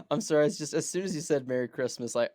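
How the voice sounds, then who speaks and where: A man's voice: fairly high pitch, big pitch swings, moderate pace, mellow, no room sound, clean studio sound. Playful, rambling man on a podcast. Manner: playful, rambling, stammers and restarts. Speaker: podcast co-host. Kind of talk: casual chat.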